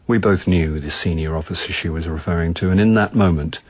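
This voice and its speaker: An actor playing a wry middle-aged amateur sleuth with an English accent, dry and understated in a radio drama. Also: male voice, low-pitched, fairly quick, darkish tone, no room sound. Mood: reflective. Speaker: narrator and lead actor. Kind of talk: radio drama dialogue and first-person narration.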